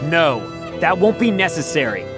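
An actor playing a young, protective soldier hero in a video game, with a punchy, emotional delivery. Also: male voice, fairly high pitch, big pitch swings, moderate pace, music in the background. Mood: defiant. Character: young heroic male soldier, brash, protective, earnest. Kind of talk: English video game dub acting.

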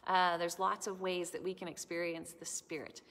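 A middle-aged woman giving a children's sermon in a large church sanctuary, speaking gently and explaining things to children. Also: fairly low pitch, level tone, moderate pace. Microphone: camera in front, at close range. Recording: long church reverb.

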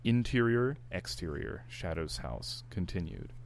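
A man's voice: sits low, very animated, a little slow, creaky, a little hiss or hum. A man narrating a fan fiction screenplay in an amateur reading.

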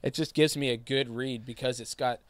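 A man's voice: mid-range voice, fairly even intonation, brisk, no room sound. A man in his thirties, a co-host in casual chat, easygoing and agreeable, often trailing off.

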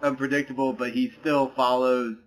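A man's voice: medium pitch, flat delivery, normal speed, rough recording. A man co-hosting a podcast in a casual chat, rambling and hesitant, full of fillers. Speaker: podcast co-host. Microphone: podcast microphone.

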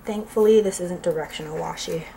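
A woman's voice: on the low side, fairly quick, gravelly, mellow, low-quality recording. A woman talks casually and a little rambly, her voice stuffed up and nasal from a lingering cold. She fills her speech with ums as she goes. The recording carries a faint background hiss, picked up by a camera mic over a desk. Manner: chatty, rambling, many ums. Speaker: planner vlogger. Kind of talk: casual plan-with-me narration. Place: indoors at a desk, overhead camera.

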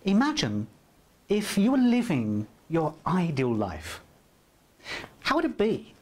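A man in his forties giving an upbeat, persuasive promotional pitch to camera in an indoor room, on a lapel mic. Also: huge pitch swings, slow pace, a little mellow. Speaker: course presenter. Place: indoor room by a flip chart.

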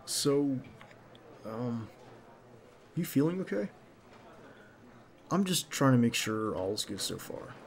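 A man acts out a devoted male bodyguard out on a date, in an ASMR roleplay. His voice has plenty of vocal fry at an average pitch. He talks softly and a little hesitantly, with a gently teasing way about him. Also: big pitch swings, slow, pauses often, heavily acted. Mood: casual, attentive. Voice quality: creaky. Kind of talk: ASMR roleplay acting, intimate one-sided dialogue.

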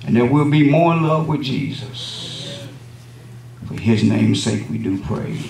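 A middle-aged man, a visiting pastor, opening his sermon and praying from the pulpit with a measured cadence and frequent amens. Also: mid-range voice, creaky, darkish tone. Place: wood-paneled church sanctuary. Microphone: lectern microphone, camera at back of room. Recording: echoey room, distant camera, congregation responses.

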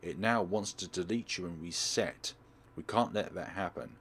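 A man's voice: deep voice, moderate pace, fairly bright tone, slight background noise. A man voicing a protective teenage girl in a horror visual novel, explaining things with grave urgency. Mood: grave, urgent. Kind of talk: visual novel character read-aloud.

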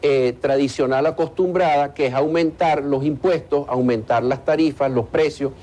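A man, giving hesitant, deliberate analysis in a television interview. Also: medium pitch, mellow. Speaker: economic analyst being interviewed. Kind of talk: live television news interview. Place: TV studio in Caracas.

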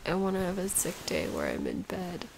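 A woman playing a sick, sleepy, sweet and whiny girlfriend in an intimate ASMR roleplay, breathy and often dropping into a whisper, with drowsy sighs. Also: fairly low pitch, huge pitch swings, a little hiss or hum, full character voice. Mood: drowsy, wistful. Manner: drowsy, drawn-out, sighing, intimate.

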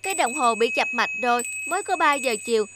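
Woman as a high-voiced, emotive little cartoon boy. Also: animated, fast, very noisy, lightly acted. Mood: grumbling. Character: young boy, whiny, fickle, then remorseful. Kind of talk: cartoon dubbing. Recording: music behind the voice.

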